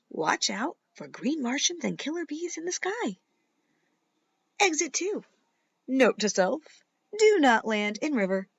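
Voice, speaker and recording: A woman with a high, unhurried voice reads a picture book to young children. She is playful and dramatic, leaning into key words and pausing for effect. The sound is slightly dull and lo-fi. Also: creaky, breathy edge, dry recording. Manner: dramatic, playful, with emphatic pauses and whispers. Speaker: teacher reading a children's picture book aloud. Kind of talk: expressive children's storybook read-aloud.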